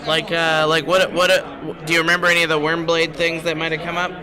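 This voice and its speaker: A man hosting a casual event stream, talking haltingly and searching for words, with questions for his guest. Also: a little high, monotone. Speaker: stream host asking questions. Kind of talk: casual unscripted chat. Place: gaming tournament hall. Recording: busy crowd chatter in event hall.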